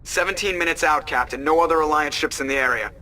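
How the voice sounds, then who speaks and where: A wry starship pilot. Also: male voice, fairly high pitch, quick pace, pushed and bright. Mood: alert. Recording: heavy noise over voice.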